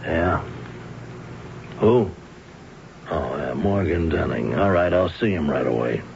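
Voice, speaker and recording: Male, an older racketeer for radio drama; slow, swooping delivery, muffled broadcast. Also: deep voice, lively intonation, gravelly, a little mellow, heavily acted. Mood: smooth, falsely genial. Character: older, unhurried male crime boss. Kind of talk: 1970s radio drama acting. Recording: dull, faintly noisy old radio.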